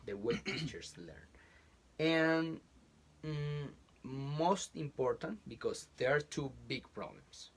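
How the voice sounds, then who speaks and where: A man from Colombia with a Colombian Spanish accent, speaking as a college professor and interview guest in casual chat. He talks haltingly, restarting phrases as he searches for words, recorded in a small home closet. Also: fairly high pitch, lively intonation.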